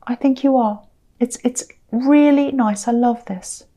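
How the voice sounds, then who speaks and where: Tired, croaky-voiced woman reading tarot. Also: a little high, unhurried. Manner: gentle, rambling, many fillers and restarts. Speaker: tarot reader. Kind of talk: casual tarot reading to camera.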